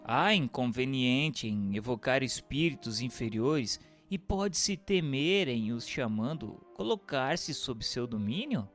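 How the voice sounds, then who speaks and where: A man playing an earnest questioner in a radio drama, putting formal questions in a measured way. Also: medium pitch, animated, fairly bright tone. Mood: earnest, inquiring. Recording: music under the voice. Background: background music.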